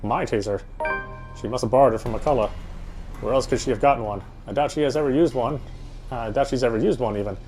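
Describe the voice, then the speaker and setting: A man's voice: mid-range voice, fast, darkish tone, soft background music, poor audio. A man reading a horror visual novel aloud as first-person narrator, with a dramatic, tense delivery.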